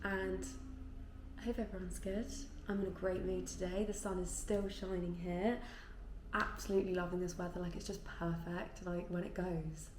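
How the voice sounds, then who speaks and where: A woman's voice: on the low side, darkish tone. A woman in her twenties chatting casually and informally as she answers viewer questions in a vlog, often saying "like". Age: 20s. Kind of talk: casual chat to camera, Q&A. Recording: echoey room with faint background noise.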